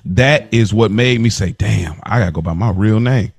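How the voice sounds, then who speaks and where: A man's voice: fairly low pitch, moderate pace, creaky, no echo, studio quality. A man in his thirties hosting a casual podcast, talking in slangy, conversational phrases that he often repeats for emphasis. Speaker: podcast host.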